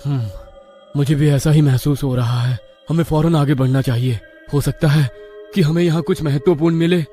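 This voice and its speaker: Man as young storybook hero: earnest, determined, deliberate, slightly high male voice, music behind. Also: steady tone, mellow, close to an everyday voice. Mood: wary. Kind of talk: scripted audio story acting.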